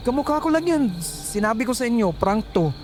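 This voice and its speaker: A rattled young man in denial, voiced by a woman, in a horror audio drama. He stammers in a soft, mellow voice over faint music. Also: a little high, sing-song. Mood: defensive disbelief. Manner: halting, stammering. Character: shaken young man in denial, call center agent.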